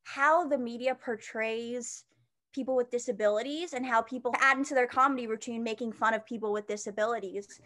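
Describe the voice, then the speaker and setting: A young woman with a high-pitched voice, chatting as an interview guest, with many fillers and restarts. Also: a little fast, a bit bright. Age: young adult. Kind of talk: casual interview chat.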